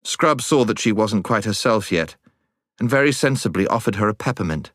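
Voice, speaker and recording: Low-voiced British male storyteller. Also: moderate pace, no room sound, studio quality. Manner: expressive storytelling. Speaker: audiobook narrator. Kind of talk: scripted storybook narration.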